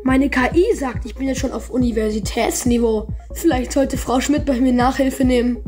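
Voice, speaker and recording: A woman's voice: high-pitched, normal speed, mellow, faint music behind, close to an everyday voice. A woman voicing a cocky, boastful schoolboy classmate in a children's audio drama, with a teasing manner.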